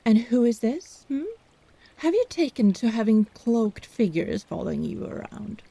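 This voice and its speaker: A woman's voice: a little high, big pitch swings, a little slow, vocal fry, dark tone, slightly rough, exaggerated voice. A woman playing a haughty, teasing country healer in a fantasy audio drama, arch and inquisitive.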